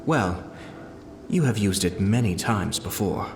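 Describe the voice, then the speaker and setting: A man playing a composed, calculating young nobleman in a video game, speaking in a measured, formal, deliberate way. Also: fairly low pitch, animated, vocal fry. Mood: calm, knowing. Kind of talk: video game character dialogue. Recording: music behind the voice.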